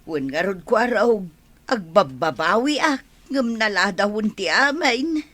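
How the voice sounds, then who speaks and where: A woman playing an excitable, jealous village wife in a radio comedy drama, with an Ilocano accent and a dramatic, wailing manner. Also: medium pitch, measured pace. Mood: sheepish, remorseful.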